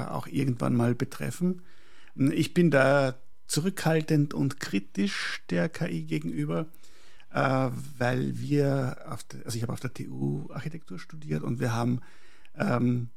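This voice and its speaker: This is a man with a very creaky, husky voice. He sits at an ordinary male pitch and talks reflectively as an interview guest. He chooses his words deliberately, often pausing to search for the next one. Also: a little mellow. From Prague, Czechoslovakia, later schooled in Austria.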